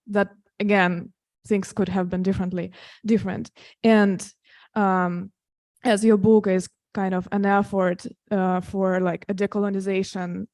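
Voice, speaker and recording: Hesitant Russian-native woman, flat voice. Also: medium pitch, no room sound. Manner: hesitant, many fillers. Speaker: audience member asking a question.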